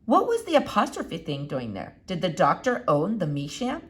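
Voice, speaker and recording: A woman reading a children's novel aloud in an expressive, animated way with dramatic pauses. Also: on the low side. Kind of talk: expressive storybook read-aloud.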